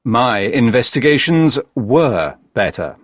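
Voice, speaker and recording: Storybook detective, read by a man: sardonic, brisk, superior, mid-pitched voice with animated delivery. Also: dry recording. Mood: scolding. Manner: curt, superior, dry.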